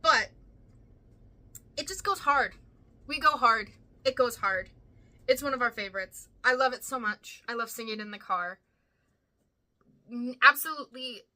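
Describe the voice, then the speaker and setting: Bright, sharp-voiced woman hosting a countdown. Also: fairly high pitch. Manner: enthusiastic, emphatic. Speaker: host of a ranking video. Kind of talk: fan commentary, countdown.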